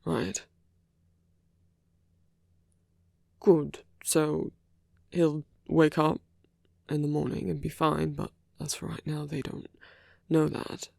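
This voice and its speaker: A performer playing a suave male villain in a romantic ASMR roleplay, speaking in hushed, deliberate phrases with pauses. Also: medium pitch, flat delivery, creaky, no room sound, studio quality. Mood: calm, reassuring. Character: suave male villain urging a captured hero to flee.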